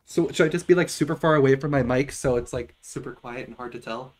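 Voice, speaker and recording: A man's voice: medium pitch, quick pace, darkish tone. A young man streaming a game, sick, talking casually and joking with friends over a headset in a small room. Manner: joking, talkative. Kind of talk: casual gaming chat.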